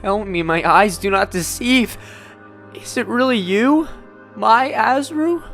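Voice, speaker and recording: An actor voicing a bitter, imperious ancient king reborn in a golem boy's body, rasping, for an audio drama. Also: medium pitch, takes it slowly, a bit bright, faint music behind. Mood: wary, contemptuous.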